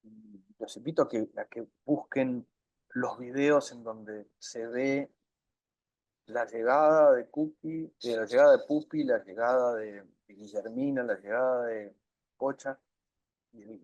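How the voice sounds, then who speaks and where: A man answering interview questions in an earnest, measured way, often repeating phrases for emphasis. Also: mid-range voice, fairly even intonation, darkish tone, no room sound, lo-fi sound. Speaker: interview guest, documentary filmmaker.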